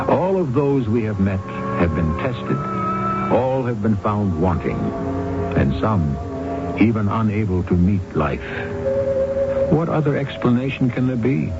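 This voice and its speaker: Very deep, portentous male radio host. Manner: portentous, measured, theatrical. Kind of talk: scripted radio drama narration. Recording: dull old radio broadcast, loud music.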